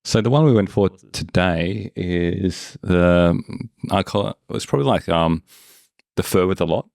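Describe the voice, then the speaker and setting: Low, creaky-voiced man chatting casually. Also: unhurried, darkish tone, no echo, studio quality. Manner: informal, conversational. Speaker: podcast co-host. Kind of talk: casual food chat.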